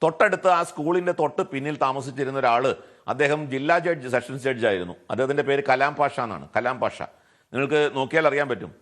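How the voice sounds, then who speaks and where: A man's voice: mid-range voice, fairly quick, fairly bright tone. A man with a Malayali accent arguing his case as a television debate panelist, often posing rhetorical questions. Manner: argumentative, poses rhetorical questions.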